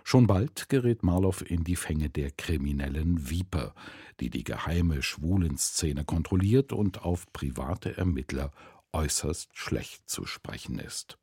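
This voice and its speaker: A man's voice: extremely low pitch, expressive, a little slow, warm tone, husky. A man reading a scripted introduction to a radio drama as its announcer.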